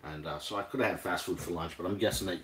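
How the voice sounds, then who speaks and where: An older man with a New England accent talks through his cooking on a live stream, drifting between topics and filling the pauses with "uh". His voice sits very low, and a faint hiss with small clicks runs under the recording. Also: a bit bright, poor audio. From Boston area, New England. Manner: rambling, chatty, many fillers and restarts. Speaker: cooking livestream host. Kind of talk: casual live cooking chat.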